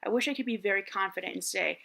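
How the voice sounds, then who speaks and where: A man in his thirties with a higher-pitched voice speaks candidly to the camera. He thinks out loud in a reflective, personal way, with plenty of restarts and "like"s along the way. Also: fast, creaky. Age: 30s. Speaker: vlogger.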